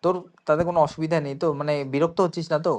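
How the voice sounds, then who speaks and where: A man's voice: on the high side, flat delivery, very fast, a little mellow. A young man in a casual phone chat, talking in a hesitant, rambling way. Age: young adult. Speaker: prank caller.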